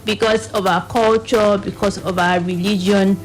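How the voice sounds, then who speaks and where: Nigerian lady on a radio interview, mid voice, explains haltingly, bit of music under. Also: medium pitch, fairly even intonation, poor audio. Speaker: reproductive health advocate, studio guest.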